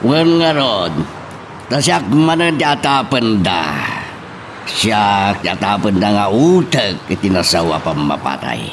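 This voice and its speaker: A man's voice: fairly low pitch, animated, measured pace, background noise. A man with an Ilocano accent playing an aggrieved, domineering rich don in a radio drama.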